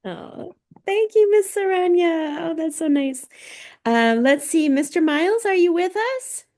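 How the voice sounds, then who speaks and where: The woman who runs a teachers' get-together, calling on each person in a high, encouraging voice. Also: expressive, unhurried, a little mellow. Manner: encouraging, affirming. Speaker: host of a staff information session. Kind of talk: informal meeting facilitation.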